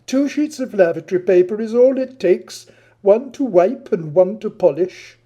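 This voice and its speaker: A man's voice: high-pitched, lively intonation, normal speed, mellow, lo-fi sound, pitched above the performer's own voice. A man voicing a flamboyant, witty teenage public schoolboy with a florid, affected, theatrical delivery for a novel reading. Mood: mocking, amused.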